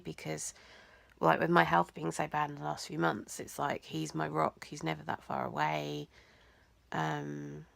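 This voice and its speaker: A young woman, talking in a rambling, hesitant way in a personal vlog, nasal and croaky from blocked sinuses. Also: sits low, no room sound. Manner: rambling, hesitant, many ums and likes. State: congested, teary. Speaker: vlogger.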